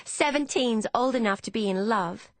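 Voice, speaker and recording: A woman's voice: a little high, sing-song, slow, pushed and bright, slightly rough, dry recording, voice pushed up, exaggerated voice. A woman voicing a defiant, pouting seventeen-year-old would-be actress in an audiobook, with a girlish dramatic manner. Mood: excited, insistent. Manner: petulant, triumphant, girlishly dramatic.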